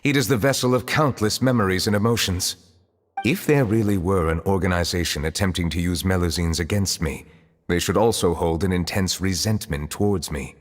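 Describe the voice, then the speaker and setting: A man voicing a calm, formal dragon who serves as a chief judge, speaking in a measured, reflective way in a video game. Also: sits low, close to an everyday voice. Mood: composed. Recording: game audio with reverb and soft music.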